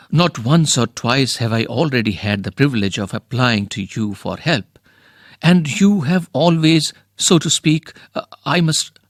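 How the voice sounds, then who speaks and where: Nervous young suitor, read by a man, halting, hesitant. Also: medium pitch, no echo, studio quality. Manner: stammering, hesitant.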